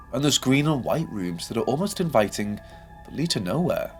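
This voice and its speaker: A man delivers scripted video essay narration about horror games. His voice sits at an average pitch and moves around expressively, with a dramatic storyteller's delivery. Music plays behind him. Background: background music.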